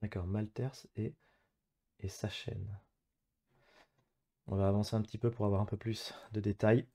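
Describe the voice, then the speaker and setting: A man's voice: fairly low pitch, flat delivery, moderate pace, creaky, dark tone. A man commentating casually on his own online geography game, thinking aloud in hesitant, piecemeal phrases as he plays. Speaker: gamer playing an online geography guessing match.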